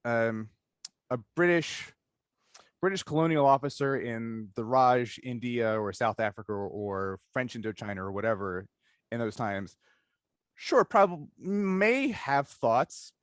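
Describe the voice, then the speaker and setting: A man's voice: medium pitch, lively intonation, a little slow, clean tone, no echo, studio quality. A man hosting a casual discussion interview, thinking aloud analytically in long stretches and often checking in with "right?". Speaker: interviewer, podcast host.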